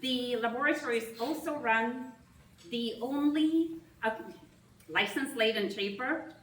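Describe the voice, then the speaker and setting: A woman with a Russian accent gives formal opening remarks, her voice a bit higher than most. She speaks in measured, emphatic phrases with deliberate pauses. The sound comes from a distance through a reverberant hall. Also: fairly bright tone, slight background noise. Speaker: institute president giving opening remarks. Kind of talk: formal speech at a reception. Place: large reception hall with an audience. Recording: echoey hall, voice heard from afar.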